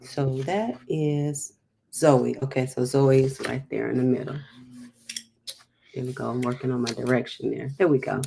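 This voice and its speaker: A woman's voice: very deep voice, fairly even intonation, moderate pace, creaky, mellow, slight background noise, poor audio. A woman hosting a storytime, reading a picture book aloud with chatty, encouraging, emphatic asides.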